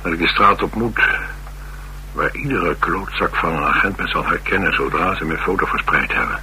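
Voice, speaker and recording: An actor with a Netherlands Dutch accent playing a troubled, blunt ex-soldier and restless drifter in a radio drama, wry and halting in delivery. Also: male voice, low voice, bright, forceful tone, low-quality recording. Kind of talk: radio drama dialogue acting. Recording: radio drama with clear hiss and hum.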